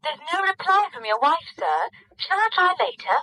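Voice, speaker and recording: Very high, bright secretary. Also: female voice, very animated, moderate pace, exaggerated voice, low-quality recording. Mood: efficient, polite. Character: bright, polite young female office secretary. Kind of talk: 1970s British radio drama acting.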